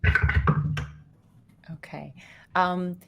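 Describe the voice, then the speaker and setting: A woman running a tabletop game as game master, with a lowish voice. She improvises descriptions in hesitant, pieced-together phrases. Faint noise is audible behind her. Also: steady tone, very slow, gravelly.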